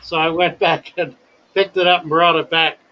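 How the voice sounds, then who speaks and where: An older man hosting a casual livestream chat, talking in a slow, wordy and deliberate way and telling stories. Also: on the high side, level tone, highs cut off. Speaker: livestream host.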